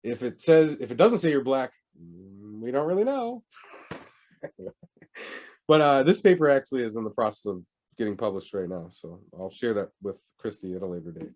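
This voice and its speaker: A man lecturing on public health in a lively, conversational way, often asking rhetorical questions. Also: medium pitch, moderate pace. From United States.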